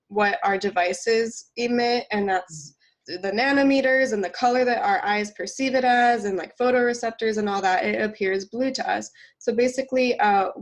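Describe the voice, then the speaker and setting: A woman with a congested cold voice co-hosting a nutrition podcast in casual chat, laughing often and using lots of fillers. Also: mid-range voice. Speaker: podcast co-host.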